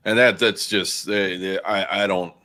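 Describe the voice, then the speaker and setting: A man on a sports show panel chatting casually, repeating words in a halting way as he makes his points. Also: low voice, steady tone, bright, forceful tone.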